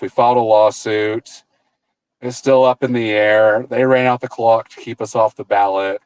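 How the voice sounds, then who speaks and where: A man's voice: on the low side, poor audio. A man in his thirties, a political candidate talking as a guest in a casual interview, rambling and often restarting his sentences over a video call. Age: 30s. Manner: rambling, with frequent restarts and repeated words.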